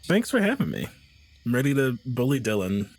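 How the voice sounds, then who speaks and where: Animated, laughing guest on a podcast. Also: male voice, medium pitch, a little fast, husky, no room sound. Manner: animated, laughing, self-interrupting. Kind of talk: casual chat.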